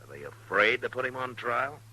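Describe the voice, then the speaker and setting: An actor playing a stern, steadfast frontier marshal, firm and plainspoken, in 1950s radio western style. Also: male voice, mid-range voice, expressive, moderate pace, pushed and bright, slightly rough, no echo, full character voice, low-quality recording. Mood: stern warning.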